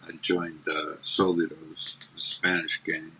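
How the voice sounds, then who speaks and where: A man's voice: extremely low pitch, level tone, unhurried, noisy background. A middle-aged man chatting casually in plain, profane slang from an outdoor patio with crickets chirping. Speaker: panelist, former gang member recounting prison. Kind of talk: casual chat.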